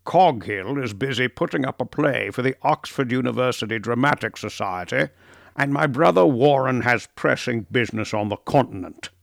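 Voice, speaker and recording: A man voicing a thoughtful middle-aged professor who speaks in a measured, deliberate way. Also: mid-range voice, expressive, gravelly, no room sound, studio quality. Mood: welcoming.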